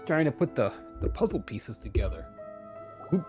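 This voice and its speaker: A man's voice: medium pitch, very animated, moderate pace. A man giving casual, musing live commentary as he streams a game. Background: game music.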